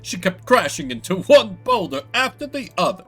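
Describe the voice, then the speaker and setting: A man's voice: high voice, very animated, normal speed, fairly bright tone, faint music behind, heavily acted. A man voicing a boastful, theatrical dragon slayer in a fantasy video game, pompous and florid in his storytelling. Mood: dramatic bragging. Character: boastful male dragon slayer, grandiose, theatrical, self-styled hero.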